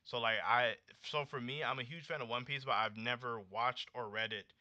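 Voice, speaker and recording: A young American man in his late twenties talks casually as a livestream host. His voice is very bright and punchy, with a slangy, animated delivery. The audio is slightly dull and lo-fi. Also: mid-range voice, normal speed, no room sound. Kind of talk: casual livestream chat with viewers.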